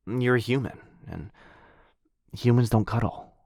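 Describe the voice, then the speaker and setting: A man playing a shy, sweet, earnest dog-person roommate in an intimate boyfriend ASMR roleplay, speaking softly and hesitantly. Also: medium pitch, vocal fry, dry recording, clean studio sound. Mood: puzzled. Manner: soft, hesitant, halting, bashfully rambling.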